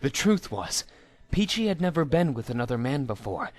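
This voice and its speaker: A man's voice: medium pitch, very animated, normal speed, a little mellow, dry recording. A man reading a story aloud as scripted narration, in a theatrical manner. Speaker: amateur story reader.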